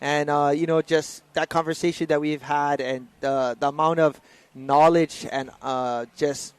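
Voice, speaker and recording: Easygoing male race commentator, fairly high voice. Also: a little slow, smooth, no room sound. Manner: relaxed, loose delivery with many fillers and self-corrections. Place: outdoor race venue broadcast.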